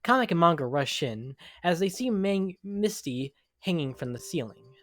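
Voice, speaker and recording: A man's voice: fairly high pitch, very animated, normal speed, fairly bright tone, dry recording, clean studio sound. A man narrating a scripted dramatic story, now and then stumbling over the words.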